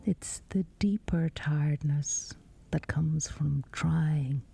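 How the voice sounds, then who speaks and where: Very slow, hushed woman guiding sleep meditation. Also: low-pitched, creaky, husky. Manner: hushed, whispery, unhurried delivery with long pauses. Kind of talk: guided sleep meditation, scripted narration.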